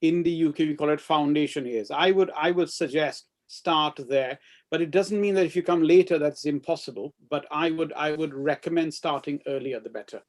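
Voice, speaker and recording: A man from Trinidad, a doctor on a panel, speaking in a measured way with frequent restarts. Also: a little high, darkish tone. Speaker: surgeon panelist. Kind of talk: online panel discussion.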